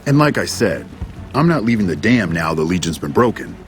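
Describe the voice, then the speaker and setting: A low-pitched male general from a video game, gruff and stubborn. He talks bluntly and combatively, swearing as he digs in, with music clearly behind him. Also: sing-song, brisk. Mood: angry, defiant. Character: gruff, defiant, foul-mouthed military general.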